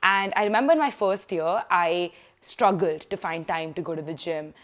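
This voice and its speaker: A young woman vlogging casually from a living room sofa, giving student tips in a chatty, animated way. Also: fairly low pitch. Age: young adult. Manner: chatty, animated hand gestures, quick asides. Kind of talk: casual advice vlog.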